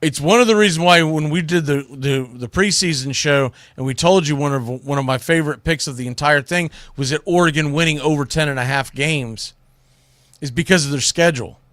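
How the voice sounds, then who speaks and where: A man hosting a sports show, giving casual, opinionated commentary in a conversational, emphatic way with pauses for effect. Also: on the high side, fairly bright tone, no echo. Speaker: sports radio show host.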